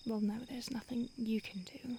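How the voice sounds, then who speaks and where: A woman voicing a starved, conflicted female vampire who stalks and craves her listener, speaking in a soft intimate whisper. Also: fairly high pitch, fairly even intonation, moderate pace, a little hiss or hum, natural voice. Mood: grim, confiding. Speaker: audio roleplay performer. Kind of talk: whispered audio roleplay.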